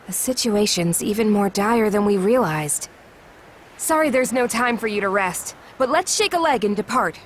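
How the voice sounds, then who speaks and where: An actress playing a brisk, encouraging young woman in a video game, with an upbeat, businesslike manner. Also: mid-range voice, animated, a little hiss or hum, lightly acted. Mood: earnest, brisk. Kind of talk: scripted video game acting.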